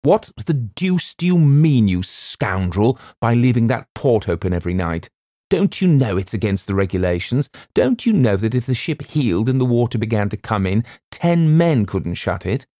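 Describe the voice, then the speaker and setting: Man playing an imperious, scolding gentleman passenger. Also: sits low, normal speed, no echo, clean studio sound. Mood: furious. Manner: rough, scolding. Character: furious gentleman passenger berating a servant, imperious.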